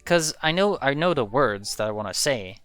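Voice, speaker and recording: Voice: mid-range voice, lively intonation, moderate pace, a bit bright, clear, resonant tone, no room sound, soft background music. A young adult from Belgium, a native Dutch speaker, chatting casually in a podcast and rambling with frequent restarts and swearing.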